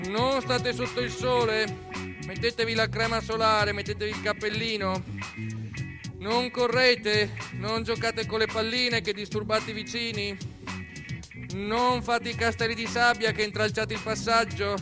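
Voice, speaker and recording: A fussy, nagging mother acted by a man in a radio theatre monologue, pitched high and loud. She fires off bossy strings of warnings and prohibitions, with music in the recording. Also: little pitch movement, a little slow.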